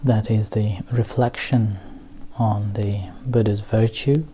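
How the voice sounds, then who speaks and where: Slow, mellow male meditation teacher. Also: lowish voice, fairly even intonation, slight background noise. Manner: halting, measured phrasing with long pauses. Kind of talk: guided meditation talk.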